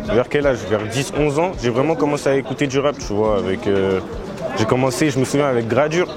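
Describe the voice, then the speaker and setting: Teenage man, rapper, fast talk, relaxed slangy answers, outdoors, crowd noise. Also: medium pitch. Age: teens. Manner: laid-back street slang, many fillers like tu vois. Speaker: young rapper being interviewed. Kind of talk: casual interview answers. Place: outdoors in a housing estate.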